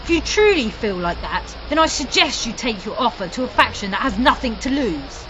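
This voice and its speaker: The masked mistress of a fantasy guild hall in a radio play, haughty and stern, her bright voice swooping a woman acting. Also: a little high, very animated, normal speed, muffled recording, a little hiss or hum. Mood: haughty refusal. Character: imperious masked female leader of alchemist sisterhood. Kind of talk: fan audio drama acting.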